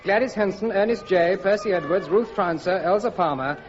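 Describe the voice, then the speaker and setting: Male announcer, formal vintage introduction, high pitch, dull archive sound. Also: brisk. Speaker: radio announcer. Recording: muffled old broadcast with music.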